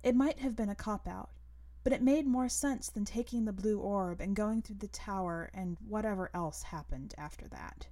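A woman narrating literary fiction as a podcast host, in a measured, deliberate manner. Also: medium pitch, dark tone. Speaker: podcast host and story reader.

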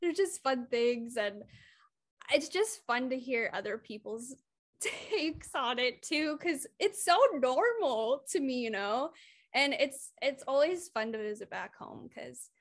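High-voiced young woman from Iowa. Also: takes it slowly. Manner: animated storyteller, acts out quotes. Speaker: podcast co-host. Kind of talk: casual chat.

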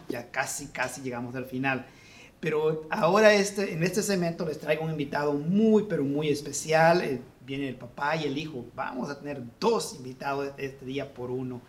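A man hosts a conversational interview. He has a slightly higher voice for a man and talks in an encouraging way, often nodding along with short affirmations. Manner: warm, encouraging, frequent affirmations. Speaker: host. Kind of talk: casual interview.

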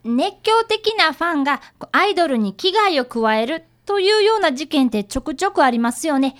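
A woman's voice: sits high, sing-song, normal speed. A woman playing an earnest, polite young boy apprentice detective in a radio drama, narrating and talking formally. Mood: earnest, puzzled.

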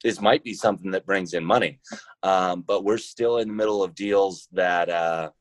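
A man's voice: low voice, moderate pace, no room sound, poor audio. A man talking casually as a guest in an interview, explaining his work as a podcast founder.

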